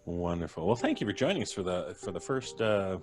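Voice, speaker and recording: A man's voice: low voice, big pitch swings, brisk, darkish tone. A man hosting a live-streamed show, chatting casually and jokingly as he introduces his guests. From Canada. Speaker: host. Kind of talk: casual livestream chat.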